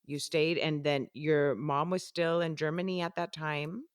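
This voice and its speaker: A woman's voice: deep voice, fairly even intonation, measured pace, clean tone, no echo, clean studio sound. Female podcast host with a non-native English accent. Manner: encouraging, affirming listener. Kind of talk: casual conversational interviewing.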